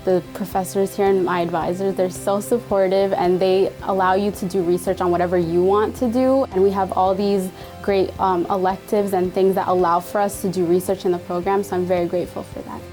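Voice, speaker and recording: Young woman, student researcher, medium pitch, casual testimonial, faint background music. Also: fairly even intonation, fairly quick, few pauses, dark tone. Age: young adult.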